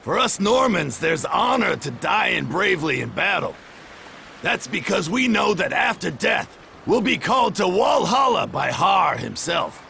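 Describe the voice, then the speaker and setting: Gravelly seasoned sea dog. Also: male voice, sits high, big pitch swings, measured pace, pushed and bright, a little hiss or hum, heavily acted. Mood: proud, firm. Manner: folksy, clipped dialect speech. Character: gruff seasoned male Norman seafarer, plain-spoken elder. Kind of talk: video game voice acting.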